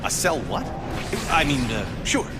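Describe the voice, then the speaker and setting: A man's voice: fairly high pitch, very animated, measured pace. A man with an American accent playing a driven, terse futuristic superhero in a dramatic video game. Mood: calm, reassuring. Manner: terse, urgent. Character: driven male futuristic superhero, terse, determined, reluctant rescuer. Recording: game audio under loud music. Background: loud dramatic music.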